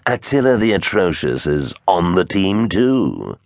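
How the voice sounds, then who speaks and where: Man voicing a rasping, boastful dinosaur villain. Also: deep voice, sing-song, unhurried, darkish tone, no echo, clean studio sound, voice pushed up, exaggerated voice. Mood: gloating. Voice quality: rasping, hissing. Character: chunky, atrocious male dinosaur villain, scary and boastful.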